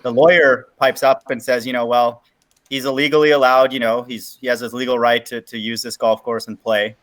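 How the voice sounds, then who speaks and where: A man's voice: medium pitch, little pitch movement, fairly quick. A man in a casual online chat, blunt and joking.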